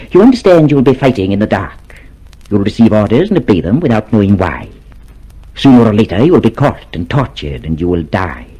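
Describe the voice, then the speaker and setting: A grave underground leader in vintage radio drama style. His voice is dark and husky, moving widely in pitch as he questions and instructs with solemn authority. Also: poor audio. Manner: solemn, deliberate, interrogating. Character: grave, commanding male leader of a secret underground. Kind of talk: old radio drama acting.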